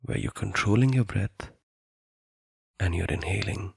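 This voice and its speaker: A man guiding a meditation in a soothing, deliberate manner, leaving long pauses between phrases. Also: deep voice, vocal fry, slightly rough, dry recording, clean studio sound. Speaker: meditation guide. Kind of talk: guided meditation narration.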